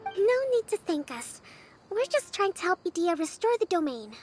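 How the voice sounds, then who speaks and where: Tiny squeaky kid-like game sidekick, curious n chirpy, super bouncy pitch, music behind. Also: female voice, sing-song, normal speed. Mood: cheerful. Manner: squeaky, childlike, forthright. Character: small childlike companion creature, curious, well-meaning, chirpy. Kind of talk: video game voice acting.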